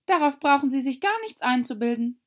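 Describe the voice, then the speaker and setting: A woman voicing a prim, pert little girl in a storybook, full of questions and quick to be indignant. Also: high voice, expressive, pitched above the performer's own voice.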